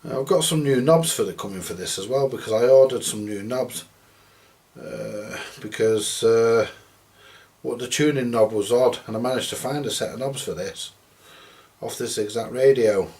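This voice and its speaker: A man giving casual, hands-on workshop commentary as host of a repair video, chatty and halting as he thinks aloud. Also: medium pitch, darkish tone.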